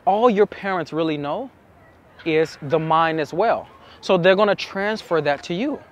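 A young man explaining to camera as a dating coach, with emphatic, deliberate phrasing and pauses, recorded outside on a city street. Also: a little high. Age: young adult. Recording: outdoor city street with traffic noise.

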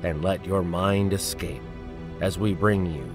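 A man giving dramatic scripted narration as an introducer, measured, ominous and theatrical. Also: low-pitched. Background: prominent music.

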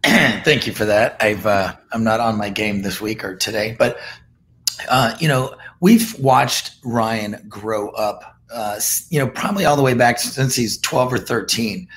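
Hoarse man stumbling over his words. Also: fairly low pitch, measured pace, fairly bright tone, slight background noise. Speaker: swim coach. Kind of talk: casual video chat. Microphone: video call webcam.

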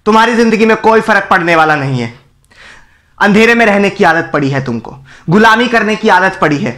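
A man playing a defiant condemned man who bitterly berates an apathetic crowd in a theatrical, scornful film-style monologue with emphatic pauses. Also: high-pitched, animated, fairly bright tone. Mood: bitter, accusing. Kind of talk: dramatic Hindi film monologue recital.

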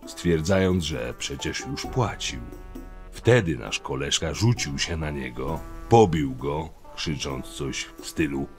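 A man playing a stern, loyal, level-headed chief of camp guards in a fantasy audio drama, speaking firmly and matter-of-factly. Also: very deep voice. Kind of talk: acted dialogue, fan audio drama.

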